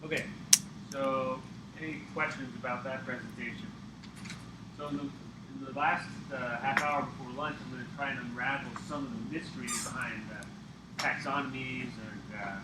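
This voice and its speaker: Man teaching through loud noise, far off. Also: mid-range voice, steady tone, moderate pace. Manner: conversational, checks in with listeners. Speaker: instructor taking questions. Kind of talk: informal classroom teaching. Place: echoey classroom or training room, far from the mic. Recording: echoey, distant, very noisy room recording. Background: loud room noise.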